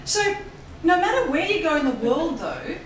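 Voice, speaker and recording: A woman with an Australian accent giving a lively public talk about voice work, laughing often and mimicking accents. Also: very high voice, normal speed, distant mic, crowd noise. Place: embassy hall. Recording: echoey hall audio from afar.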